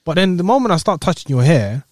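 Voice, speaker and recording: Slightly high man, casual chat. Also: very animated, quick pace, no echo, studio quality. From England. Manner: conversational, acts out quoted speech. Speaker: podcast co-host.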